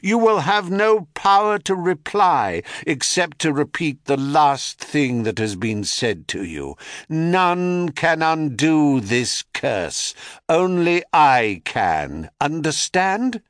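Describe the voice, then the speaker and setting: A man's voice: fairly high pitch, big pitch swings, takes it slowly, a bit bright, no echo, clean studio sound, voice pushed up, exaggerated voice. A man voicing a haughty, wrathful queen goddess who speaks with icy command. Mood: icy imperious. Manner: icy, imperious.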